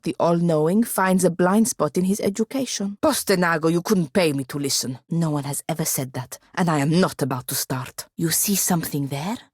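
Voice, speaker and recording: A woman's voice: fairly low pitch, a little mellow, husky, no room sound. A woman voicing a sardonic, haughty female wizard in a video game, with a dry, disdainful and articulate delivery. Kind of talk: video game character dialogue.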